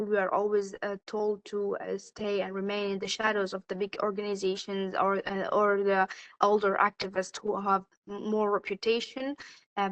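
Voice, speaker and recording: Sudanese woman panelist, Arabic native, steady monotone, halting delivery. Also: medium pitch, low-quality recording. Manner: hesitant, many fillers and restarts. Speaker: youth climate activist, panelist. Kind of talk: panel discussion.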